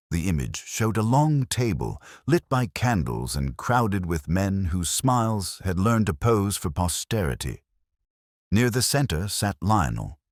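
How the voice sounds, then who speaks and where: A man narrating a first-person detective story in a measured, literary, brooding manner. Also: a bass voice, dry recording, studio quality.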